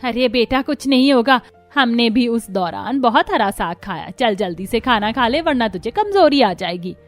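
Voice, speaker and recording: A stubborn, insistent mother-in-law from a cartoon, voiced by a woman. Her voice sits fairly high and she talks in a scolding tone. Light music plays behind her. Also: animated, moderate pace, natural voice. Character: stubborn older mother-in-law, fond of greens.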